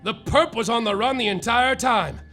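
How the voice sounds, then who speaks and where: Man doing a hardboiled private eye, self-absorbed, melodramatic, very high, gravelly, bright, live comedy radio play. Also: moderate pace, faint music behind, heavily acted. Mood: rambling. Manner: melodramatic, rambling, boastful narration. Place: live theater stage.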